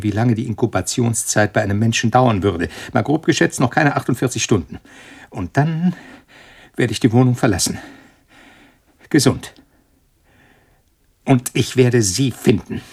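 Trapped scientist in a radio drama, husky mid-pitched voice, agitated, sarcastic, defiant. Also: male voice. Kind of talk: German radio play acting.

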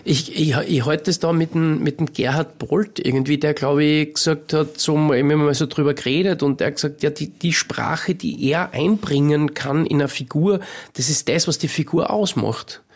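A man in his forties with a Styrian Austrian accent, his voice sitting a bit high for a man. He talks bluntly and candidly as an interview guest. He keeps restarting his sentences and often drops in a quick "you know". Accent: Styrian Austrian German. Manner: candid and blunt, many restarts, frequent "weißt du". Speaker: actor and screenwriter guest. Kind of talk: casual interview conversation.

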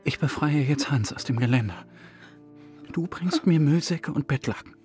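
An actor playing a secretive, burdened male accomplice in a radio drama, speaking in a deliberate way. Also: on the high side, a little mellow, close to an everyday voice. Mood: urgent, controlled. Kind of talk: radio drama acting with intimate inner monologue. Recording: music under the voice.

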